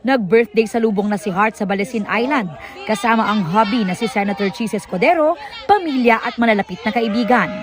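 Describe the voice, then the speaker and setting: A woman with a Filipino accent narrates entertainment news from a script. Her pitch is on the high side, and she speaks as a broadcast presenter. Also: fairly quick.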